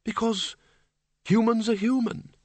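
A man's voice: high-pitched, steady tone, unhurried, darkish tone, dry recording, pitched above the performer's own voice. A man voicing a frail old undead man, hesitant, querulous but kindly, as an audiobook character. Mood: flustered, earnest.

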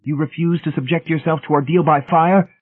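A man's voice: on the high side, brisk, husky, voice pushed up. Through a thin phone-like band comes a smug young zealot, acted out by a male narrator. He declaims in a raised, sanctimonious voice, as pompous storybook villains do.

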